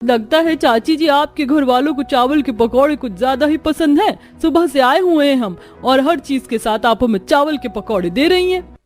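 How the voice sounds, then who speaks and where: A woman's voice: high-pitched, lively intonation, moderate pace, soft background music, voice pushed up. A woman voicing a complaining child in a cartoon story. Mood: annoyed. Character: young visiting relative, a fussy complaining child.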